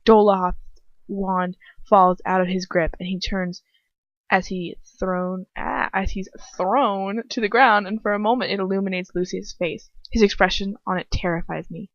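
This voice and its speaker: A woman's voice: medium pitch, fairly even intonation, moderate pace, no room sound, muffled recording. A woman narrating a first-person story aloud in an informal way, stumbling and slipping into asides. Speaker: amateur fan fiction reader.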